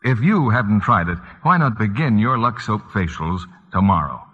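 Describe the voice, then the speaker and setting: An American man reading a 1940s radio advertisement as announcer, with a husky voice. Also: fairly low pitch, very animated, normal speed. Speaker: commercial announcer. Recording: muffled old radio broadcast, long reverb.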